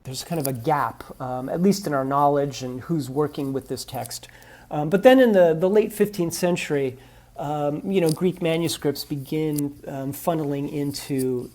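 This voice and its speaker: A man interviewed as an expert, explaining at length with many pauses and restarts. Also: a little high, a little mellow. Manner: hesitant, many ums and restarts. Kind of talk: recorded interview.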